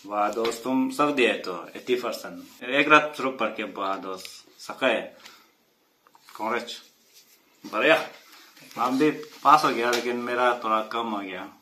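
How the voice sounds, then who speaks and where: A man's voice: mid-range voice, unhurried, sharp tone. A man in his twenties acting a friendly, studious student roommate in a casual amateur skit. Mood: admiring. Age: 20s. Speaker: actor in an amateur short film. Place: bare indoor rooms. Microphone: camera microphone at some distance. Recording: echoey, distant, noisy amateur camera audio.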